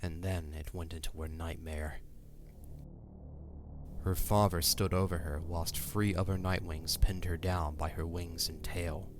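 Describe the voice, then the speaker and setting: A man's voice: low voice, level tone, a little slow, noisy background. A man narrating a fantasy audio drama in a dramatic, scripted storytelling style. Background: music.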